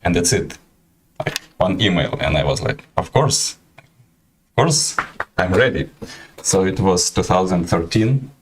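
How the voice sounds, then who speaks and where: Ukrainian-accented male host, very low voice, halting casual livestream chat. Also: lively intonation, noisy background. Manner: halting, many fillers and restarts.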